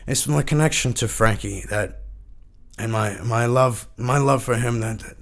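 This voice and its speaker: An actor playing a washed-up, haunted rock frontman in his fifties, rambling and swearing haltingly in a mock podcast interview. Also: medium pitch, vocal fry. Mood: grieving, halting. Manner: halting, repetitive, rambling, profane.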